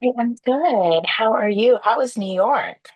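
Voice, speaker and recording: A woman from Trinidad, a podcast guest, telling her story thoughtfully over a remote call and often pausing with "you know". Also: a little high, animated, a little mellow, slightly rough, lo-fi sound. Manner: thoughtful, layered answers with frequent "you know". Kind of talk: reflective conversational storytelling.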